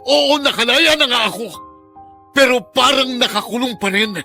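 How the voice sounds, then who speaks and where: A Filipino actor playing an anguished former prisoner in an intense, lamenting radio drama monologue. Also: male voice, very high voice, moderate pace, vocal fry, pushed and bright, soft background music, heavily acted. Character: anguished former prisoner haunted by his past.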